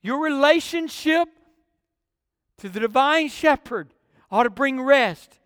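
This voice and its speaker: High-pitched male preacher, dry sound. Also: lively intonation, slow, fairly bright tone, no echo, big-room sound. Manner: emphatic, repetitive phrasing for effect. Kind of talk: expository sermon.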